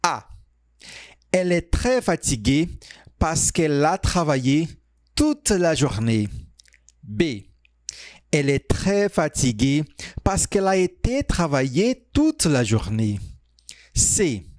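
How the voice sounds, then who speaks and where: A man teaching French, reading quiz sentences and answer options aloud slowly and carefully. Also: fairly high pitch, sing-song, darkish tone, no room sound, clean studio sound. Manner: reads sentences slowly, stretched out, pauses on options. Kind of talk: slow, clearly spoken quiz reading.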